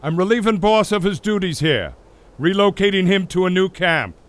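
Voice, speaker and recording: High-voiced, commanding timber chief, played by a man. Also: lively intonation, moderate pace. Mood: businesslike. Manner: curt, authoritative. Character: brusque, commanding 1890s timber company chief. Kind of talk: acted story drama.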